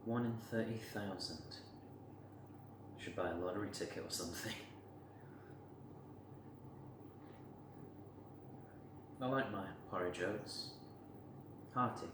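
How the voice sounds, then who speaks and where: Man acting a low-voiced, melancholy man, haltingly reflective. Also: noisy background, natural voice. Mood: wry, reflective. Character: melancholy colourblind man losing his regained colour vision. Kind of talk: intimate dramatic monologue.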